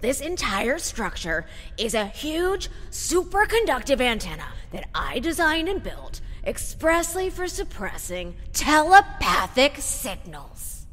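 Woman acting an eccentric genius inventor, lively and echoing. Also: high voice, slow pace, hardly any pauses, exaggerated voice. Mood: defensive, indignant. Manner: dramatic, declaiming, explanatory. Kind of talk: audio drama acting. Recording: long hall-like reverb.

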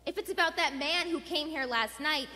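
A woman plays a genteel, brisk wife in a live radio drama. Her voice is high and theatrical, carrying through a reverberant hall. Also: pushed and bright. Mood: indignant, defensive. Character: genteel, loyal English wife, brisk and indignant. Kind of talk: stage radio play acting.

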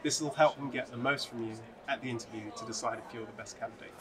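A young man from the UK, a recent PhD graduate, giving advice in a steady, even way. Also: mid-range voice, quick pace. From United Kingdom. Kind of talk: answering interview questions to camera. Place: large open atrium, indoors. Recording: crowd chatter behind the voice.

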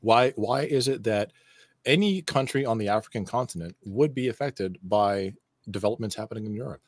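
A man's voice: on the low side, fairly quick, no room sound. Exasperated man, dry close sound. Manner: exasperated, sardonic, profane, rhetorical questions. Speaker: show co-host. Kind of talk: casual political commentary. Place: indoor room, on a video call stream.

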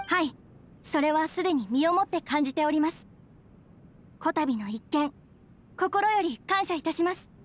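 A young lady of a noble house in an anime game. Her voice sits high, and she speaks with earnest, dignified courtesy in formal phrasing. A low noise runs faintly underneath. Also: lively intonation, moderate pace, long pauses. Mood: grateful. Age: young adult. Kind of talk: Japanese anime game voice acting.

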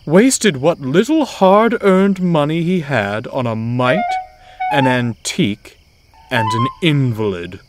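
A pompous, self-important engine, as a man puts him on lords it over everyone in a raised, loud, theatrical voice. He talks down to others with a swooping, showy delivery. A loud music score plays behind. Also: fairly high pitch, lively intonation, takes it slowly, pitched above the performer's own voice. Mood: condescending. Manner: grandiose, condescending, theatrical. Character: boastful male blue tank engine, pompous and vain.

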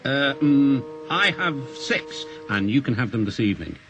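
A man voicing a cheeky little tank engine in a children's storybook, bright and innocently sly in manner. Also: mid-range voice, lively intonation, moderate pace, music in the background, lightly acted. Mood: mock thoughtful.

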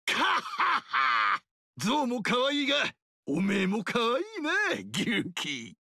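Loud rough-talking barbarian king, raspy and gravelly, swinging all over. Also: very animated, slow, dry recording, studio quality, heavily acted. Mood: hearty. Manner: rough, rowdy, booming laugh. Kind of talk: video game voice acting.

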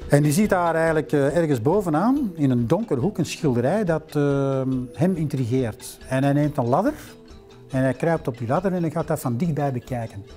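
A man's voice: on the high side, expressive, dark tone, faint music behind. Flemish man telling a museum's story. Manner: measured, storytelling with filler "eh". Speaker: museum director. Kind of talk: documentary interview storytelling. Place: library room with bookshelves. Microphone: lapel mic.

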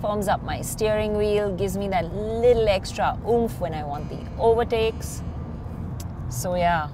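A woman's voice: mid-range voice, measured pace. A middle-aged woman presenting a travel and driving show, describing sights and car features with enthusiasm. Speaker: motoring journalist and travel host. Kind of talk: travel vlog narration and on-camera commentary. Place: underwater observatory, then inside a car. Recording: voice with loud background music.

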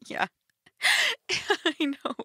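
A woman's voice: very high voice, wildly swooping pitch, drawn-out words, pushed and bright, dry recording, clean studio sound, full character voice. A young woman playing a shy, teasing goth stepsister in an intimate audio role-play, casual, hesitant and giggly. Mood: amused, teasing.

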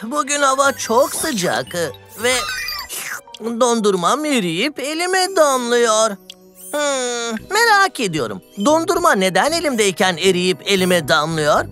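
A woman playing a cheerful, curious young male explorer in a children's cartoon, enthusiastic and full of questions. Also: on the high side. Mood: curious, excited. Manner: enthusiastic, exclamatory, inquisitive.

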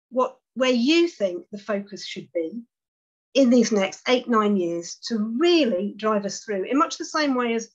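A woman's voice: on the high side, highs cut off. A woman speaking as a guest at an online webinar, closing her talk with earnest, emphatic urging. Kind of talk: closing a presentation.

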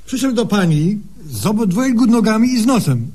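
A cocky, dim male patient in a comic radio sketch. His high voice leaps up and down through folksy, ungrammatical boasting. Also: sing-song, darkish tone, husky, heavily acted. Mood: sheepish. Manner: folksy, ungrammatical, drawn-out exclamations. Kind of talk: humorous radio sketch acting.

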